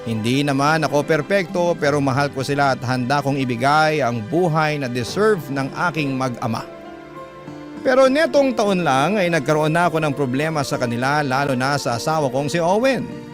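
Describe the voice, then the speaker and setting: A man hosting and narrating a radio-style drama with a dramatic, drawn-out delivery. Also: fairly high pitch, lively intonation. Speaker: host and narrator. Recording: music bed under voice. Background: clear instrumental music.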